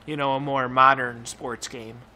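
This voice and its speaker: A young man rambles and swears casually as a gaming channel host, talking over his footage. His voice is bright and a little tense, and a touch hoarse, as if he is losing it. His mouth is numb, which softens his speech slightly. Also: medium pitch, little pitch movement, a little slow, rough recording. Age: young adult. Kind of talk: casual gameplay commentary.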